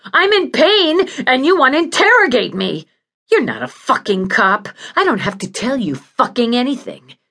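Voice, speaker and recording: A woman's voice: medium pitch, very animated, unhurried, fairly bright tone, voice pushed up. A woman voicing an agitated, foul-mouthed female patient in an audiobook, bitter, demanding and in pain. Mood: furious agony. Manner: pleading, snarling, accusatory. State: in pain, desperate. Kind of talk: audiobook character acting.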